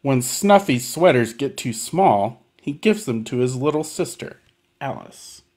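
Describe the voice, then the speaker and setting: Gentle man hosting children's storytime. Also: mid-range voice, animated, a little slow. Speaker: storytime host at a library. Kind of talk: casual commentary for young children.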